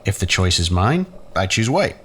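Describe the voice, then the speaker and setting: Low, sardonic, swooping scientist played by a man. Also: sing-song, moderate pace, breathy edge, exaggerated voice. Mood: teasing, sly. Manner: mocking, theatrical, erudite. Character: sardonic, bitter scientist, an embittered younger brother. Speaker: audio drama actor. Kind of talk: scripted dialogue in a science fiction audio drama. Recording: faint noise and room ambience.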